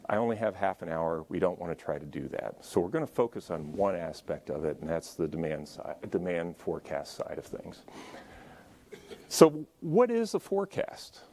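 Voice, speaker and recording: A man with a deep voice giving a conversational lecture at a symposium, adding joking asides to the audience and moving around as he talks. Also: sing-song, moderate pace, darkish tone. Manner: moves around while speaking. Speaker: conference speaker. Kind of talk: conference lecture.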